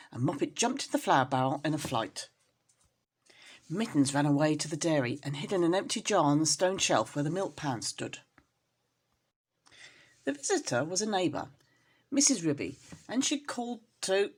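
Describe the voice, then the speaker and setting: A woman reading a children's story aloud as a storyteller, in an expressive style. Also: deep voice, slightly rough.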